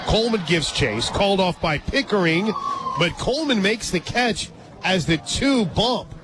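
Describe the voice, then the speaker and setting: A man doing live radio softball play-by-play, dropping conversational asides between pitches. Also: expressive, unhurried. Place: radio booth at an outdoor ballpark. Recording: outdoor stadium crowd noise, loud music. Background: loud crowd and ballpark music.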